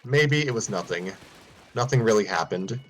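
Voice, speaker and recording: A man's voice: lowish voice, expressive, normal speed, fairly bright tone, soft background music. A man reading a fan story aloud, often stumbling and restarting lines.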